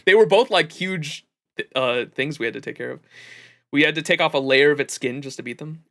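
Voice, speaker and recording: Bright-voiced man reacting on a livestream. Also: a little high, fairly quick. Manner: effusive, heavy swearing. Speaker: livestream host. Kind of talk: casual livestream reaction commentary.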